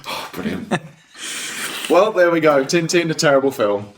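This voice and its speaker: Lively, laughing male podcast co-host. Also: on the high side, normal speed, heavy background noise. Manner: playful, laughing, animated. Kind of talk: casual chat.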